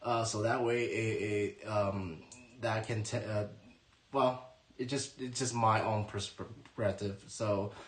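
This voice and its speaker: A man's voice: lowish voice, fairly even intonation, takes it slowly. Hesitant man in an echoey room. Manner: hesitant, restarting, searching for words. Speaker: guest model builder. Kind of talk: casual show-and-tell explanation.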